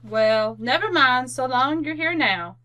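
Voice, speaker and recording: A woman's voice: high-pitched, lively intonation, a little slow, pushed and bright, exaggerated voice. An actress playing a fond, bustling, outspoken working-class mother in a radio drama. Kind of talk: old-time radio drama acting.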